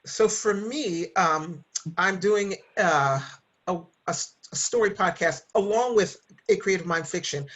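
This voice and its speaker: Husky-voiced woman chatting casually. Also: fairly low pitch, fairly bright tone. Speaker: podcast guest and co-host. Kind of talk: casual interview chat.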